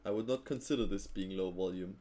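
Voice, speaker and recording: Easygoing man chatting on a trading stream. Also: sits low. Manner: relaxed, thinking aloud, frequent fillers and quick laughs. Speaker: live-stream trading host. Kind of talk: casual live-stream chat answering viewers.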